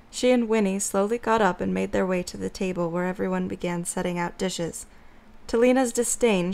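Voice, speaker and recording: Mid-pitched woman narrating a story. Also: gravelly.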